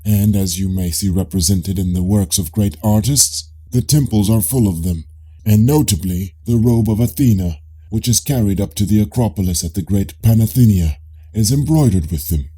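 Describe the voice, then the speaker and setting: A deep, very dark male voice as a man reads the part of a Greek philosopher in an audiobook dialogue. He questions in a measured, politely ironic way, with a husky edge. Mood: probing.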